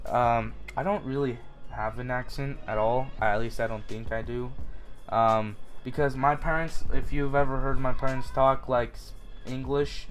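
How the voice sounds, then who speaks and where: A young man from Utah answering viewer questions in a casual, rambling vlog, full of fillers and restarts. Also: mid-range voice.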